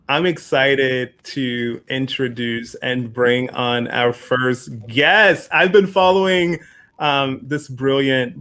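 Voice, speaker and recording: A man hosting an online festival conversation in an informal, halting way, speaking over a remote call. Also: medium pitch, a bit bright, clear, resonant tone. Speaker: host, festival producer.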